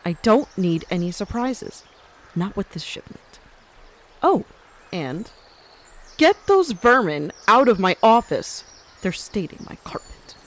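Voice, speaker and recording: A woman playing a commanding, cultured mafia boss in a scripted audio drama, poised and grandly formal, with sly warmth. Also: medium pitch, very animated, slow pace, pauses often. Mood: stern, imperious. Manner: poised, grandly formal, uses endearments like dearie. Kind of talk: scripted audio roleplay acting.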